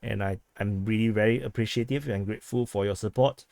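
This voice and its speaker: A hoarse man talks through a casual vlog update. His voice sits on the low side and sounds a bit worn. He rambles in a halting way, with many restarts and fillers, and the sound is dry and close. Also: steady tone, a little mellow, no room sound. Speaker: vlog host giving news updates. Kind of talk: casual spoken commentary.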